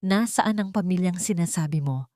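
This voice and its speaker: A woman voicing a resolute, forgiving young mother with a solemn, measured delivery in an audiobook drama. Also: lowish voice, dark tone, no room sound, clean studio sound, close to an everyday voice.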